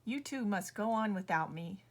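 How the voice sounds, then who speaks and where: A woman voicing a gentle, tender-hearted young male buffalo in a children's storybook, earnest and plaintive. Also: medium pitch, lively intonation, moderate pace. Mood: discouraged, plaintive.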